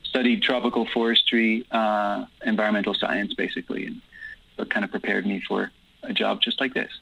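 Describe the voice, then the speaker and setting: A man's voice: lowish voice, level tone, brisk, gravelly, sharp tone, husky, rough recording. A man who grew up in Guatemala and speaks Dutch as his first language, answering interview questions in easygoing, thoughtful English with no accent and plenty of ums. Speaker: guest. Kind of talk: relaxed conversational interview answers.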